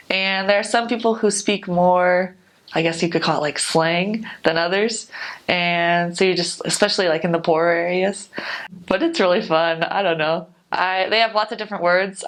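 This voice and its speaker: A woman's voice: on the low side, fairly bright tone. A woman chatting casually to the camera, rambling and unsure.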